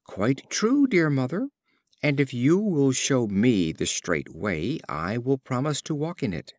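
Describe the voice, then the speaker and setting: A man's voice: mid-range voice, sing-song, a little slow, dry recording, clean studio sound. A man voicing an earnest young animal son in a storybook fable, speaking with polite, emphatic pleading. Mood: respectful, cheeky.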